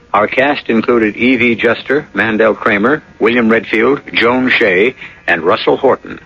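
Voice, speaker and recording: A man hosting and narrating a radio drama in a gravelly voice, measured and solemn. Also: fairly low pitch. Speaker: host and storyteller. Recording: dull old radio broadcast.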